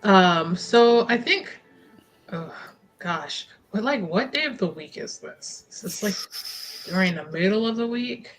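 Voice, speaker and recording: A woman's voice: mid-range voice, expressive, takes it slowly, faint music behind, poor audio. A woman playing a tabletop roleplaying game, casually thinking aloud about what her character will do. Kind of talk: casual in-game planning chat.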